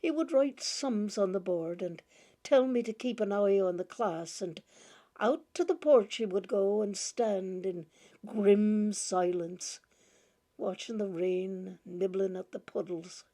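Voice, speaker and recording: A woman gently reading a short story aloud, often breaking into soft laughter. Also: mid-range voice, slow pace.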